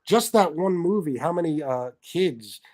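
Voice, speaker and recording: A man in his forties hosting a casual interview chat, relaxed and agreeable, reading out viewer questions. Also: on the high side, a little slow. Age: 40s. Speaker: host.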